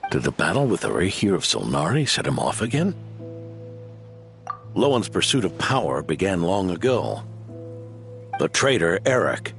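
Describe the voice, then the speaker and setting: An actor playing an aging, modest veteran knight who speaks calmly and with self-deprecation in a game cutscene, with background music. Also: male voice, deep voice, creaky, full character voice. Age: older. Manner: calm, reflective, self-deprecating. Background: background score.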